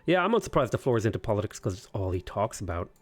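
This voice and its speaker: A man's voice: lowish voice, big pitch swings, rapid-fire, soft background music. Playful man in his twenties streaming. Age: 20s. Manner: playful, joking, reads chat aloud. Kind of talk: casual livestream commentary, reading chat.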